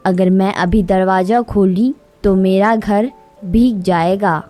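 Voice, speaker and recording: A woman's voice: mid-range voice, steady tone, takes it slowly, warm tone, natural voice. A woman voicing a lazy, selfish bird auntie with a theatrical, whiny delivery in a children's cartoon story. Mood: smug. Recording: music behind the voice.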